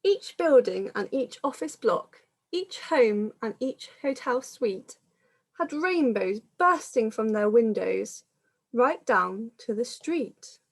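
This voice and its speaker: A woman, a primary school teacher, reading a picture book aloud to young children in a measured, explanatory manner. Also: fairly high pitch, animated.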